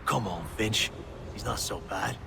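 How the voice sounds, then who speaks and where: An actor playing a gruff, touchy prisoner in a video game, curt and defensive. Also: male voice, fairly low pitch, unhurried. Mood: skeptical, subdued. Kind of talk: video game cutscene acting. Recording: very noisy background ambience. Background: loud ambient noise.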